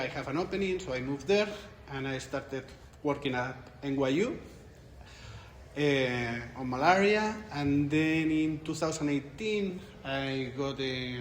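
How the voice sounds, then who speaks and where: A man's voice: medium pitch, a little hiss or hum. A man in his forties from Spain, speaking English with a Spanish accent, answering questions in a conference interview in a thoughtful way, often restarting his phrases. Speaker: interviewed researcher. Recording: echoey room with light ambience.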